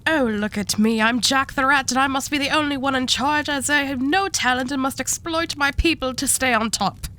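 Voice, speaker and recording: A woman's voice: high voice, normal speed, sharp tone, pitched above the performer's own voice. A woman putting on a mocking impression of a boastful, vain male gang boss. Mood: mocking, pompous.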